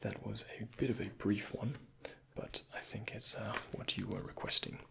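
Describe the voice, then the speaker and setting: A soft-spoken man with a breathy, often half-whispered voice, playing a doctor in an ASMR roleplay and giving gentle instructions and reassurance. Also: lowish voice, fairly even intonation, moderate pace, noisy background.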